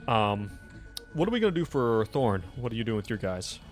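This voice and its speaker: A man running a tabletop game as game master, improvising the scene in an informal, halting, teasing way. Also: on the low side, sing-song, soft background music.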